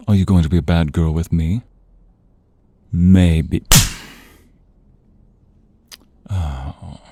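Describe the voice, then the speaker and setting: Man acting a very deep, husky vampire master. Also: warm tone, dry recording, heavily acted. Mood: stern, commanding. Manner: slow, teasing, commanding, often slipping into whispers. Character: sinister, seductive male vampire, domineering and predatory master. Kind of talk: ASMR audio roleplay. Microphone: close, dry studio microphone.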